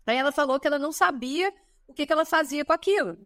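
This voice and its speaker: A Brazilian woman in her thirties, a guest chatting casually on a podcast, lively and talkative, often tagging her sentences with a questioning "né?". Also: high voice, fast talker, dry recording, clean studio sound. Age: 30s. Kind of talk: casual chat.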